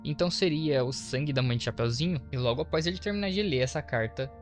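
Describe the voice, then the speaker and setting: A man's voice: medium pitch, expressive, fast. A Brazilian man narrating a horror story recap as a video host, explaining it with informal asides. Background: faint to clear background music.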